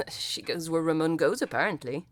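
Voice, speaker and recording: A dry, matter-of-fact physicist. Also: female voice, deep voice, animated, a little slow, dry recording. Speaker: acoustic physicist. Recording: dry close studio sound.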